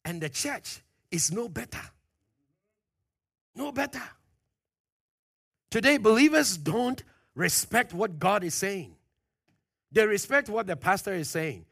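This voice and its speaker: A man preaching a sermon, pressing his points with questions to the congregation, loud at the peaks and dropping low and quiet at phrase ends. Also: animated, slow, creaky, long pauses, husky, dry recording, clean studio sound. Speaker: pastor.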